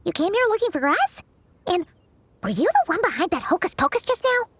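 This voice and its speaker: A woman voicing an excitable, chatty little fairy companion in a game who refers to herself in the third person. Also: very high voice, a little fast, exaggerated voice. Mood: startled. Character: tiny floating female fairy companion, chirpy. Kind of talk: game dialogue. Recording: game audio with music behind.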